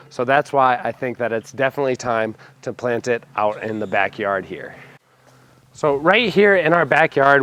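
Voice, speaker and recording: Hoarse man with a cold, vlogging outdoors. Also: mid-range voice, a bit bright. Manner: enthusiastic, explaining step by step. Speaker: homestead channel host. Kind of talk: casual gardening vlog. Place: backyard, outdoors.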